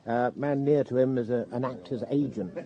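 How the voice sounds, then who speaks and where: A man's voice: mid-range voice, moderate pace, dark tone, dull audio, natural voice. An actor playing a deferential hotel barman in a radio drama, polite, hesitant and a little reluctant. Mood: obliging.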